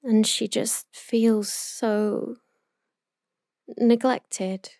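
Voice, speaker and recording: An obsessive young woman, played by a voice actress, speaks in a high voice at an unhurried pace. She is possessive and emotionally intense, delivering an intimate roleplay monologue as if confiding in her best friend. The sound is close and very dry. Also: steady tone, dark tone, dry recording. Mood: hushed, brooding. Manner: slow, deliberate, emotionally intense storytelling.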